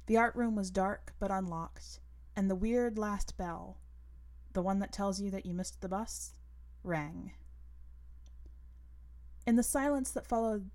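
A woman narrating literary fiction as a podcast host, in a measured, deliberate manner. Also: mid-range voice, a little mellow. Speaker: podcast host and story reader.

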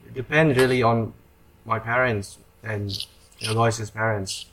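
A young person hosting a podcast in casual chat. They speak hesitantly and often trail off, on a rough home recording. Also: mid-range voice, steady tone, measured pace, a bit bright. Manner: hesitant, trails off, lots of likes. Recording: lo-fi home recording with faint background noise.

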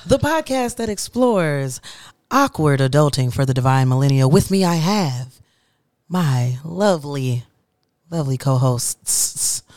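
A woman's voice: low voice, expressive, measured pace, darkish tone, dry recording, clean studio sound. A woman in her thirties hosting a podcast, chatting casually with slang, laughter and playful energy. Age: 30s. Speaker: podcast host. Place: podcast studio with camera setup.

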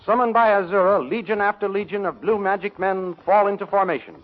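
Formal old-time radio announcer. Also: male voice, high-pitched, a little fast. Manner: crisp, formal announcer delivery. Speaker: announcer and narrator. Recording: dull old radio recording with faint music.

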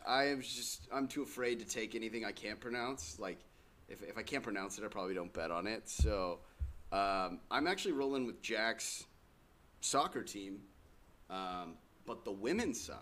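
A young man co-hosting a casual sports-betting podcast, relaxed with dry teasing. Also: on the low side, moderate pace. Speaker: podcast co-host.

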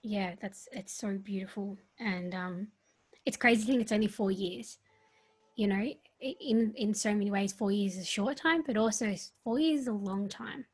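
Husky-voiced Australian woman host. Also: mid-range voice, normal speed. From rural Australia. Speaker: podcast host. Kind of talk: casual interview chat.

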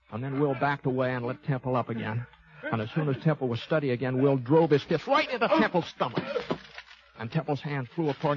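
A man's voice: mid-range voice, natural voice. Man playing folksy Old West gunfighter, dull old radio. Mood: excited, urgent. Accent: American. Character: laconic, folksy, fair-minded Old West gunfighter narrating his tale. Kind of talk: 1950s radio western acting, first-person narration. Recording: dull lo-fi vintage 1950s radio recording.

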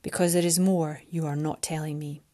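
A woman voicing a proud, cold winged man who is about to rule, speaking low and clipped. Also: flat delivery, moderate pace, dark tone, close to an everyday voice. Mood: stern.

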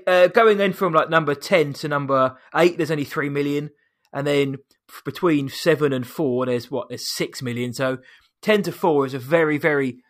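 A man co-hosting a casual film podcast, with a squeaky voice, chatty and quick to laugh at himself as he reads through lists. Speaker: podcast co-host.

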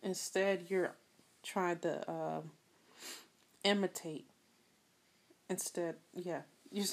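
A woman hosting a solo podcast, talking casually in a soft voice, rambling a bit and chatting straight to her listeners. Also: lowish voice. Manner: rambling, laughs often, addresses listeners as y'all. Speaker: podcast host.

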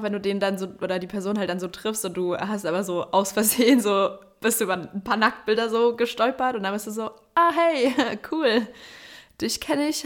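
A woman from Bavaria with a light Bavarian accent, co-hosting a casual podcast chat, laughing often and rambling with fillers. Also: a little high. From Bavaria, Germany. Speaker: podcast co-host. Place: at home, neighbors partying nearby. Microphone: separate remote recording, two takes.

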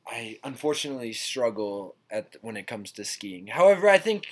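A man's voice: mid-range voice, big pitch swings, normal speed. A teenage boy hosting a casual podcast, laid-back and often repeating and restarting his words. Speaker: podcast host. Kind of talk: casual chat. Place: indoors, ski trip lodging.

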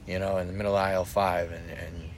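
A man with a low voice rambles through a loose podcast chat, swearing and circling back over his own sentences. A steady hiss of background noise runs under him. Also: fast talker. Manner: rambling, profane, restarts often. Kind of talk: casual chat.

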